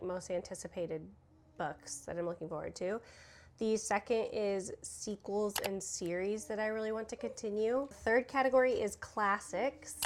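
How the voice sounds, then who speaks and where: A young woman with a stuffy cold talks about books at home, rambling loosely with lots of "like" and "um" as she crafts. Her voice sits at a middle pitch, with a faint hiss behind it on the recording. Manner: chatty, filler-heavy, "like" and "um". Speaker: book vlogger. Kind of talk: casual chat while crafting. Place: home room with children nearby.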